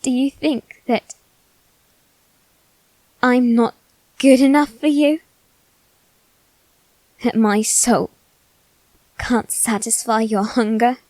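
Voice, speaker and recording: A woman playing a proud, wounded aristocratic boy in an ASMR roleplay, speaking in a halting, theatrical way with formal phrasing. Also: fairly high pitch, steady tone, darkish tone, no echo, low-quality recording. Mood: hurt, disbelieving, then indignant. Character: proud, wounded, self-loathing aristocratic boy facing his demon. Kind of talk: ASMR character roleplay, dramatic monologue.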